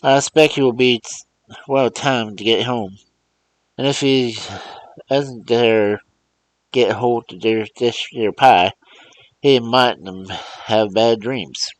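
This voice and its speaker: A man's voice: mid-range voice, slow pace, pauses often, voice pushed up, natural voice, rough recording. A man voicing an old male servant who rambles in a drawling, superstitious way. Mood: contented. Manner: drawling dialect speech, wondering. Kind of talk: audiobook character voice.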